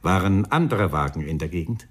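A man's voice: sits low, animated, fairly quick, slightly rough. A man playing a terse, easily irritated police inspector in a German radio crime drama, asking clipped, businesslike questions.

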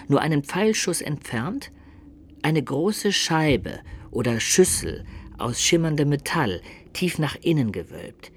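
An actor playing a loyal, observant companion who recounts a medieval campaign in measured, detailed radio drama narration. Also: male voice, a little high, a little hiss or hum. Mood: descriptive.

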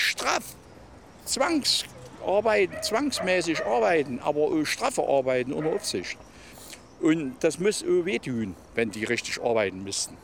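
A man's voice: fairly high pitch, creaky, a little hiss or hum. An older man talking spontaneously and emphatically as an interviewee, often repeating his phrases for weight. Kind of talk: spontaneous opinionated talk.

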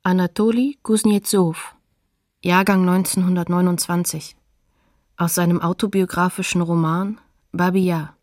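A woman's voice: on the low side, fairly even intonation, normal speed, darkish tone, no echo, studio quality, close to an everyday voice. A woman announcing sources in a neutral, matter-of-fact way for a documentary radio play.

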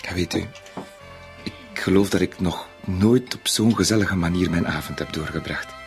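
An actor playing a timid, naive bank bookkeeper in a radio play, speaking politely and hesitantly with a Flemish accent. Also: male voice, low voice, moderate pace, faint music behind. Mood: tipsy, obliging. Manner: hesitant, polite, self-effacing. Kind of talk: radio drama acting.

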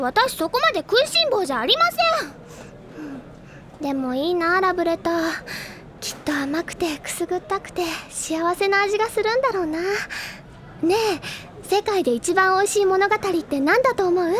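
A woman's voice: very high-pitched, animated, moderate pace, a bit bright. An actress playing a dreamy, playful literary schoolgirl in an audio drama, wistful and theatrically sulky. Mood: dreamy longing. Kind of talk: anime-style audio drama acting.

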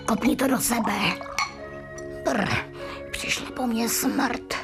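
An actress playing an agitated female servant in a radio drama, speaking in a hushed, colloquial way. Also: on the high side, steady tone, music in the background, lightly acted. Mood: frightened, hushed.

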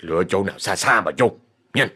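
A man voicing a cold, domineering rich old landowner who speaks gruffly and commandingly. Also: deep voice, a little fast, bright, forceful tone, full character voice. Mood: menacing.

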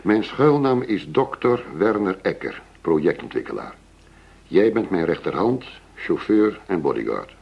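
An actor playing a middle-aged police chief going undercover in a radio play, persuasive and matter-of-fact. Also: male voice, low-pitched, big pitch swings, a little slow, vocal fry, slightly rough, full character voice. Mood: businesslike, resolute. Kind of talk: Dutch radio play acting. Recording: dull lo-fi radio play recording.

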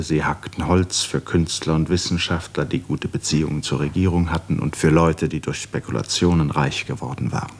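A male narrator with a very low voice reads scripted literary prose. He tells the story of a radio play. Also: slightly rough.

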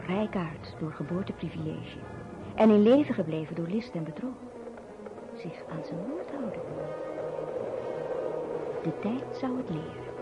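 Low-voiced woman narrating a radio tale. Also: animated. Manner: dramatic storyteller phrasing with pauses and rhetorical questions. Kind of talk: scripted radio play storytelling. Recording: dull, band-limited old radio recording. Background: music.

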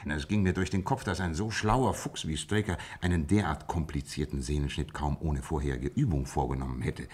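An actor playing a shrewd, self-assured gentleman detective in a German radio play, explaining deliberately with teasing asides. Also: a bass voice, breathy edge. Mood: satisfied, explaining.

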